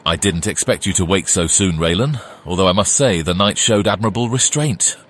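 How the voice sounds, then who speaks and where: A man's voice: deep voice, brisk, a bit bright, slight background noise. An actor playing a sneering, menacing male deputy in a full cast audio drama. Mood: smug.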